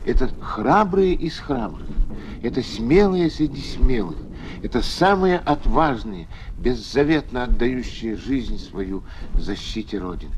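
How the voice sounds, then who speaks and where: A man's voice: medium pitch, lively intonation, measured pace, slight background noise. A man delivers solemn, dramatic scripted documentary narration for children, with emphatic rhetorical phrasing.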